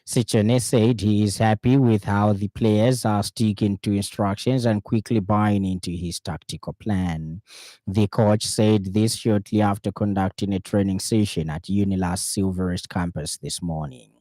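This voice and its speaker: A man with a Zambian accent reading a scripted radio news bulletin in a formal, measured way. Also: fairly low pitch, steady tone, dark tone, no echo. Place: dry radio studio.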